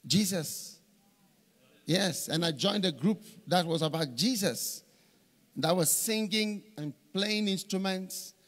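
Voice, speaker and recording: Middle-aged preacher man on a big stage, high voice jumping all over, long hall echo. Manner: animated storytelling with repeated phrases. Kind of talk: conference sermon. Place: large church stage with hall reverb. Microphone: handheld microphone. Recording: reverberant hall.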